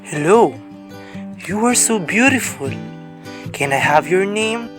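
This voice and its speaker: A man's voice: high-pitched, expressive, slow, a bit bright, faint music behind, full character voice. A man playing an earnest, lovestruck young suitor who speaks poetically in an amateur radio drama. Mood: smitten.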